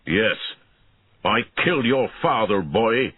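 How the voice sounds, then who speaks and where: A man voicing a bitter, defiant, strong peasant in a storybook, shouting with a husky voice. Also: on the low side, expressive, slow pace, no room sound, exaggerated voice. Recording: narrow, phone-like lo-fi audio.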